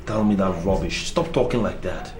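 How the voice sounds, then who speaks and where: A man's voice: sits low, moderate pace, noisy background, natural voice. A man playing a frustrated husband. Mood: soothing. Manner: forceful, pleading. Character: frustrated young family man fighting his mother. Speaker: husband and son. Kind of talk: film drama acting.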